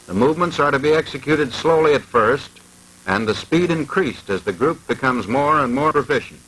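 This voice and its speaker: Bright, husky-edged vintage instructional film narrator, male. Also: medium pitch, animated, rough recording. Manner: formal, measured, precise.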